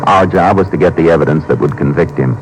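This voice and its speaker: Very deep-voiced Texas Ranger, noisy old recording. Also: male voice, quick pace. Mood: matter-of-fact. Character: calm, steady male Texas Ranger investigator. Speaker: narrator and lead actor. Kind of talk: old-time radio drama acting. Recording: noisy vintage radio drama recording.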